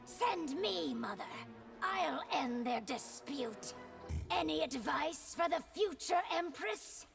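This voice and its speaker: Fierce young game princess: high-pitched, slow, deliberate and proud, music behind. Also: female voice, expressive, vocal fry, exaggerated voice. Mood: eager, proud. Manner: intense, defiant, deliberate. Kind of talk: video game character dialogue.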